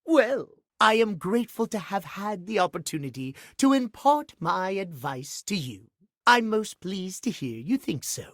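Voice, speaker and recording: A man voicing a fussy, pompous pocket watch in a video game, speaking in prim, formal, old-fashioned phrases and quick to take offence. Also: high-pitched, a bit bright, no echo, clean studio sound, heavily acted. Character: fussy, pompous, lovestruck-proper pocket watch gentleman, easily outraged. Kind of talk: video game character acting.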